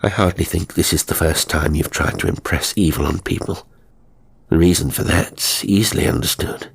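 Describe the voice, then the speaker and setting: A man playing a stern, earnest English gentleman who speaks bluntly and emphatically in a British accent. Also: sits low, steady tone, normal speed, gravelly, a little hiss or hum.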